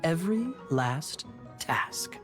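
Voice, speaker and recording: An actor playing a jovial, theatrical, reassuring knightly man in a video game, smooth-talking and teasing. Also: fairly high pitch, lively intonation, takes it slowly, faint music behind.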